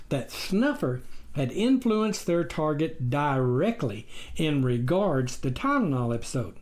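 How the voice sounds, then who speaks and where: A man reading his own written story as scripted audiobook narration, in an expressive storytelling manner. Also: mid-range voice. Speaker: author reading his memoir.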